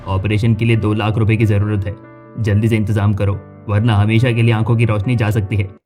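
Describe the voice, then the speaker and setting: Grave, mellow male doctor a man acting. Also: fairly low pitch, flat delivery, brisk, soft background music, close to an everyday voice. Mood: grave, firm. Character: grave, matter-of-fact male government hospital doctor.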